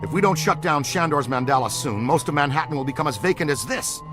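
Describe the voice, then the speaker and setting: A man voicing an earnest, excitable paranormal investigator in a video game cutscene, explaining things with dramatic seriousness. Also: fairly high pitch, fast, music in the background, close to an everyday voice.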